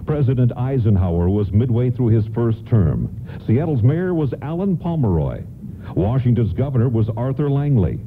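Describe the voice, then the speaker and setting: A man's voice: medium pitch, sing-song, normal speed, a little hiss or hum. A man announcing and narrating a scripted radio program. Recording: tinny narrow-band radio broadcast. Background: prominent music.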